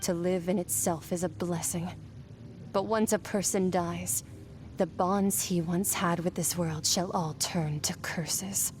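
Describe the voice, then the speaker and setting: Woman playing a calm mysterious disciple. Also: lowish voice, over music, natural voice. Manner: calm, gentle, unhurried. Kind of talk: game dialogue.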